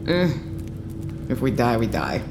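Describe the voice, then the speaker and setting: A woman's voice: rumbling low, wildly swooping pitch, a little slow, noisy background, exaggerated voice. A woman acting a blunt, unsentimental research staffer in an audio drama, matter-of-fact and casually profane in a naturalistic style.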